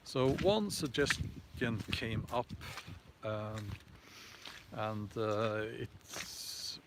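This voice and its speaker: Norwegian-accented male host, unhurried and halting, mid-pitched, noisy recording. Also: animated. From Norway. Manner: hesitant, halting, many fillers and restarts. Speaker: host answering viewer comments. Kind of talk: casual live chat.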